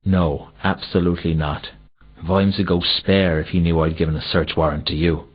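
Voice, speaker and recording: Petty, shifty city guard, deep, hesitant, evasive, slow-witted, comic video game, muffled lo-fi sound. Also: male voice, steady tone, breathy edge. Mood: stubborn. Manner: hesitant, evasive, whiny excuse-making. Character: shifty, dim, cowardly, petty male city watchman. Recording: dull, muffled old console game audio.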